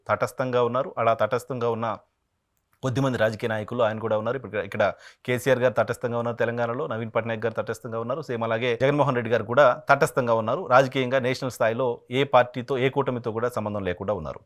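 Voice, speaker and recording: A man's voice: medium pitch, steady tone, racing. A man delivers political analysis as a journalist, emphatic, repeating phrases for stress and posing rhetorical questions. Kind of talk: political analysis monologue to viewers.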